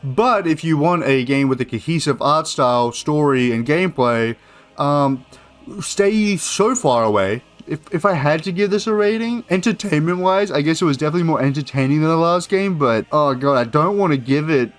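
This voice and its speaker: A man's voice: fairly high pitch, lively intonation, moderate pace, faint music behind. A man giving casual, sarcastic video game review commentary, swearing freely as he goes. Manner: sarcastic, heavily profane.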